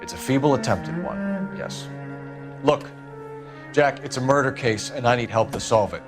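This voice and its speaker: A man's voice: mid-range voice, big pitch swings, normal speed, lightly acted. A man playing an earnest, guilt-ridden police detective and war veteran in a 1940s detective game, speaking with restraint. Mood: contrite. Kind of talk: 1940s detective game dialogue, naturalistic acting. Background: music.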